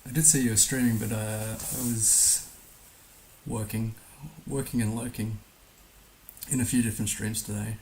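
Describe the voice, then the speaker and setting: Male game streamer, hoarse and gravelly, unhurried thinking-out-loud delivery, faint hiss on the track. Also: fairly low pitch, fairly even intonation, rough recording. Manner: musing, hesitant, thinking aloud. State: hoarse, recovering from being under the weather. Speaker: livestream host playing a geography guessing game. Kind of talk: casual live game commentary. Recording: noticeable background hiss.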